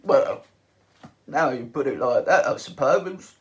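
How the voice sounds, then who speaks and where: A man voicing a dim, slow-thinking alien guard with a booming, hesitant delivery in an audiobook reading. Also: animated.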